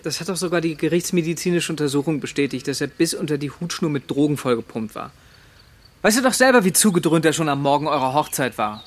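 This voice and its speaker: A loyal young friend trying to be reasonable in a radio play. His voice is on the high side and quick, earnest and indignant. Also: animated. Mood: indignant reasoning. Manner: argumentative, earnest. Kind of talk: German radio drama acting.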